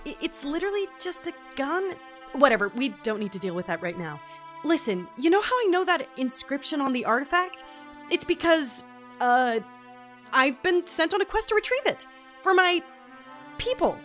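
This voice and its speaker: A woman's voice: high-pitched, sing-song, moderate pace, fairly bright tone, soft background music. A woman playing a wry, eager young outsider in a fantasy audio drama, sarcastic and casually modern in phrasing. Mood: insistent.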